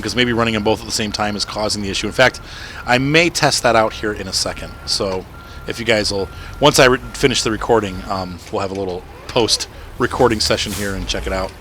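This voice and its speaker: A man in his thirties hosting a gaming channel. He rambles through casual live-stream gameplay commentary with lots of fillers, and his voice sounds slightly rough and crackly. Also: lowish voice. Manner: rambling, chatty, with frequent fillers and soft laughs.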